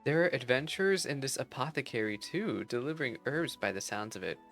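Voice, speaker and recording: A man's voice: mid-range voice, animated, a little fast, vocal fry, fairly bright tone, soft background music, pitched above the performer's own voice. A man voicing an earnest, wistful musician searching for a friend in a fantasy game, polite and reflective. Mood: wistful, curious.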